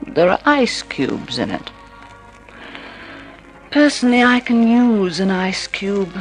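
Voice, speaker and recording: An actress playing a seductive, scheming femme fatale, poised and coaxing, in 1950s radio drama style. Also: female voice, medium pitch, very animated, takes it slowly, poor audio. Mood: coy, seductive. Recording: old radio drama recording with music.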